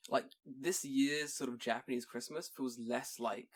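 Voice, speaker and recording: A young man from England, co-hosting a casual podcast, tells animated stories, laughs often and swears casually, on a boom-arm studio mic in a small room. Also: measured pace. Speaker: podcast co-host. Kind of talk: casual chat. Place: small home room, indoors.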